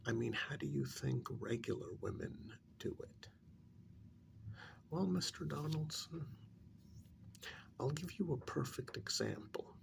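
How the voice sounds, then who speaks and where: A man playing a calm, probing psychiatrist in an ASMR role-play, speaking softly and breathily with measured pauses. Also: medium pitch, full character voice. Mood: patient, probing. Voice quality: breathy, half-whispered soft speech. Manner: slow, deliberate, measured with pauses. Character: calm, probing male psychiatrist counseling a supernatural couple.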